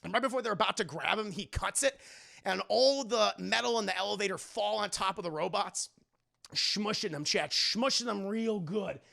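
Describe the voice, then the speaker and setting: A man streamer giving a lively, profane scene-by-scene recap to a live chat, acting out bits and sound effects. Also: high voice, normal speed, creaky. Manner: excitable, profane, acts out characters and sound effects. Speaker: streamer and animation reviewer.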